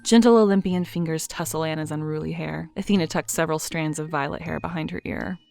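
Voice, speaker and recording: Woman reading narration for an audio drama, lowish voice, dry and measured, quiet music behind. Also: steady tone, vocal fry. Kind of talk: scripted narration.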